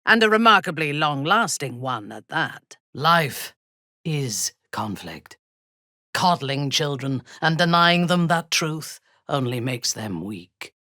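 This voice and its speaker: A woman playing a ruthless, proud female dark elf warrior in a video game, speaking in a haughty, deliberate, menacing way. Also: deep voice, no echo, studio quality, full character voice. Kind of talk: video game character dialogue.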